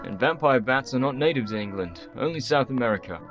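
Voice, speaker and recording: A man playing an earnest, weary, sensible gentleman doctor in a radio play, speaking in formal, measured phrases. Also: medium pitch, lively intonation, over music, lightly acted. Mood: sceptical.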